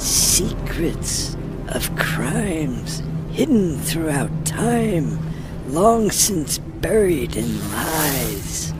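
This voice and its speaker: A woman voicing a solemn, cryptic female elder who guards ancient secrets in a video game, speaking slowly and deliberately with an ominous air. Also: low-pitched, full character voice. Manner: slow, deliberate, ominous storytelling. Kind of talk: dramatic video game cutscene acting. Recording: loud music over the voice.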